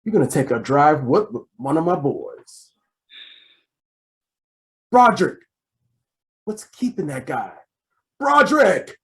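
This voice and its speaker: A man's voice: fairly high pitch, big pitch swings, takes it slowly, pauses often, heavily acted. A man acts out a tough radio-drama crime boss who takes his time over every threat. His delivery swings up and down, bossy and sarcastic, with impatience always close to the surface. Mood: bossy, exasperated. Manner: tough-talking, bossy, sarcastic gangster slang. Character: menacing, impatient male gang boss.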